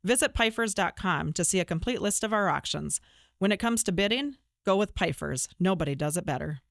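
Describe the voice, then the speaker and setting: A woman's voice: lowish voice, expressive, fairly quick, vocal fry, dry recording, studio quality. Woman reading a polished radio ad. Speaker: ad announcer. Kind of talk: scripted ad read.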